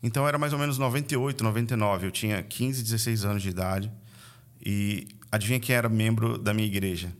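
A man's voice: fairly low pitch, fast talker. A man in his late thirties from Vitória, Brazil, with an Espírito Santo accent, a podcast guest who tells his story in an earnest, reflective way. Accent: Brazilian Portuguese, Espírito Santo (capixaba). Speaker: guest, missionary and church leader. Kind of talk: conversational podcast interview.

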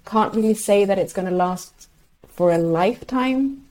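A woman's voice: medium pitch, normal speed, a little mellow. A woman whose first language is Swedish, explaining medical research as an interview guest, hesitant and searching for words.

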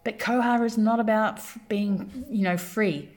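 A woman's voice: a little high, a little slow, darkish tone. A woman raised in New Zealand, a podcast guest explaining her community work in an earnest, storytelling way with many restarts. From born in London, grew up in New Zealand. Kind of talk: conversational podcast interview.

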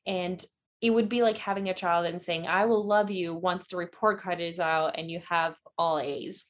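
A woman's voice: fairly low pitch, steady tone, normal speed. Reflective woman laughing easily. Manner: warm, reflective, laughs often. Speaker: podcast guest, psychologist. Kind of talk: casual interview chat.

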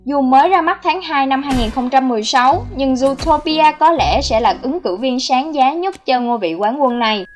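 High-pitched woman narrating over music. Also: animated, normal speed, hardly any pauses. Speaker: narrator of a film countdown channel.

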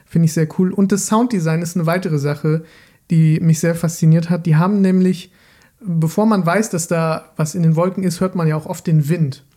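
Mellow, relaxed man chatting. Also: a little high, normal speed. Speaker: podcast panelist. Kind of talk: casual film chat.

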